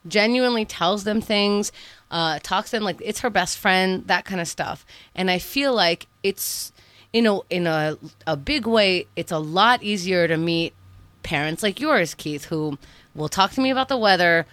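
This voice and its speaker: A young woman with a New York accent talks in casual, excitable bursts. Her voice is bright and forward. The sound is dry and close, with little room around it. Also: mid-range voice, no room sound. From Israel. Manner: excitable, laughs loudly. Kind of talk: casual chat.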